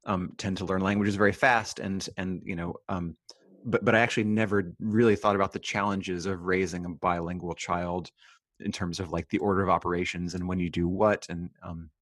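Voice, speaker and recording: A man hosting a casual conversation, relaxed and curious as he asks questions. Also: deep voice, a little fast, no echo, clean studio sound. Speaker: host.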